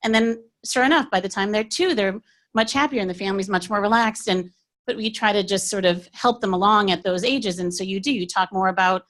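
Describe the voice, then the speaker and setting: A woman's voice: medium pitch, brisk, fairly bright tone. A woman pediatrician in her forties, sharing her experience in a reflective, conversational way at a workshop.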